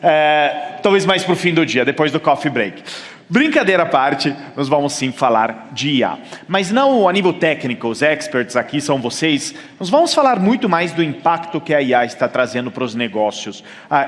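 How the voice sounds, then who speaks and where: Middle-aged italian guy doing a keynote, acts out the dialogue, big echoey hall. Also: on the high side. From Italy. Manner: animated storytelling, acting out quoted dialogue. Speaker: keynote speaker. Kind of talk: conference keynote. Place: large conference hall. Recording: long hall reverb.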